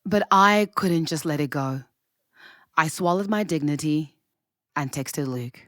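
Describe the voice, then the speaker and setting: Young single woman, low voice, wry n anxious about love, talks like she's confiding, podcast drama. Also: measured pace, fairly bright tone, no room sound, studio quality. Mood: reflective narration. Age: young adult. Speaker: lead character and narrator. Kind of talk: naturalistic audio drama dialogue and first-person narration.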